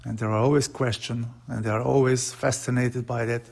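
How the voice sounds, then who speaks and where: Gravelly Slovenian-accented man. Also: mid-range voice, steady tone, a little fast, a little mellow. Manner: plain, earnest, slightly broken English with frequent uh fillers. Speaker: woodworker and channel host. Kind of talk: casual workshop vlog narration.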